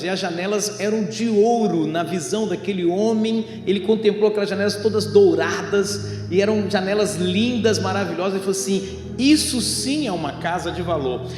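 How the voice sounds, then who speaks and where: Casting: male Brazilian pastor, high voice, didactic sermon delivery, music clearly playing behind him. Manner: didactic, asking rhetorical questions and addressing the congregation directly. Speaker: pastor, preacher. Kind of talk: evangelical church sermon with storytelling and Bible reading. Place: church hall, preaching over a sound system. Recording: echoey hall with long reverb, music behind.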